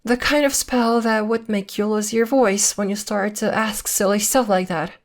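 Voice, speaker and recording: A woman's voice: mid-range voice, fairly even intonation, moderate pace, close to an everyday voice. A woman playing a possessive girlfriend who is secretly a witch, coy and sweetly menacing, in an ASMR roleplay. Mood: affectionate, possessive. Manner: coy, teasing, veiled threats in a sweet tone.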